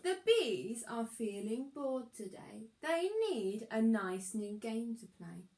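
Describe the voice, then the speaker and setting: A woman reading a children's rhyming storybook aloud as a teacher would, with expressive, sing-song, deliberate delivery. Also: a little high.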